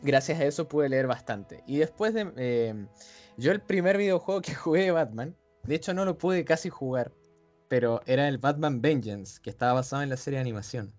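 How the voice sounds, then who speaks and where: A man's voice: mid-range voice, brisk, soft background music. A young adult man with an Argentine Spanish accent, co-hosting a podcast and telling casual stories about growing up reading comics. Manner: relaxed storytelling with frequent hesitations and laughs. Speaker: podcast co-host. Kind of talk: casual chat.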